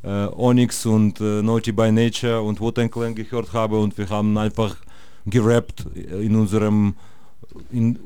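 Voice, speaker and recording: A man's voice: fairly low pitch, little pitch movement, measured pace, dark tone, clean tone, no echo. Man, rambling interview guest. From Russia. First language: Russian. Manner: rambling, many fillers and restarts. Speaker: guest musician. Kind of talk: casual interview.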